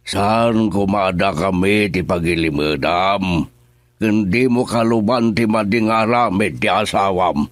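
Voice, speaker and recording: A man's voice: low-pitched, a little slow, no echo. An actor playing a worried, stern middle-aged father in a radio drama, talking earnestly and scolding. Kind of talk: Ilocano radio drama acting.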